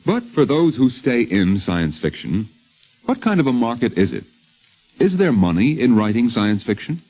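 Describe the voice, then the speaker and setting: A man's voice: medium pitch, very animated, moderate pace. An American man narrating a scripted 1950s radio documentary as host, with a wry, literate delivery on an old radio recording. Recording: dull lo-fi vintage radio recording.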